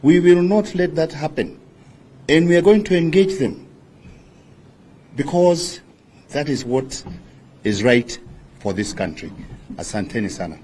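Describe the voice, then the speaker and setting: A Kenyan man speaking as a politician at a press conference, deliberate, pausing between short phrases. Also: fairly high pitch, animated, mellow, breathy edge. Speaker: opposition politician.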